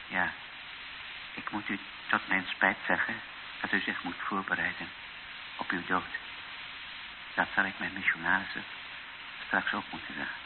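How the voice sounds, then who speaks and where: A male actor playing a grave, fatalistic missionary bishop in a radio drama, speaking in a solemn, matter-of-fact, measured way. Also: low-pitched, steady tone. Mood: grave resignation. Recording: tinny old radio recording with clear hiss.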